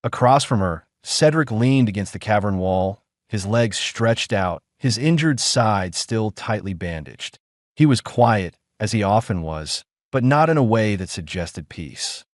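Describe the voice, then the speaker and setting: Lower-voiced man narrating with lively inflection. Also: measured pace, dry recording, clean studio sound. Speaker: audiobook narrator. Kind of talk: scripted story narration.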